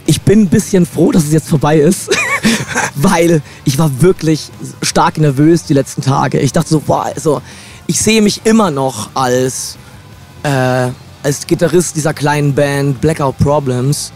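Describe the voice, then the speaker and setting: A hoarse young man, a guest musician, talking in a relaxed, reflective way into a handheld mic inside a van. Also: on the high side, unhurried, faint music behind. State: hoarse from shouting. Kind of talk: casual interview.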